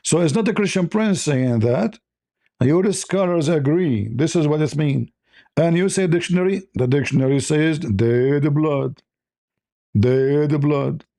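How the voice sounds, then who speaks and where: A man's voice: fairly high pitch, unhurried, vocal fry, mellow, dry recording, clean studio sound. A man whose first language is Arabic, hosting a heated live debate, combative and mocking, repeating himself insistently. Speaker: debate stream host.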